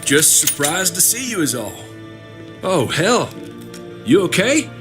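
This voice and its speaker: A blunt, anxious male survivor, exasperated and sweary. His voice sits on the high side and moves animatedly, with music clearly behind it. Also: very animated, slow pace. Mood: surprised. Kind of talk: video game voice acting.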